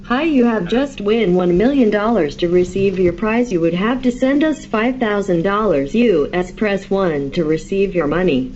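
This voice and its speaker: A woman's voice: mid-range voice, lively intonation, normal speed, few pauses, a little mellow. A synthetic woman's voice reading a scripted automated phone announcement in a formulaic way. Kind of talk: scripted prize scam announcement. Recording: muffled playback with faint noise.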